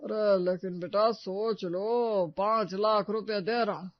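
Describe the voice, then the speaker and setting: A man playing a persistent middle-aged village headman in a cartoon story, coaxing and persuasive with drawn-out words. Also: high voice, steady tone, no echo, highs cut off.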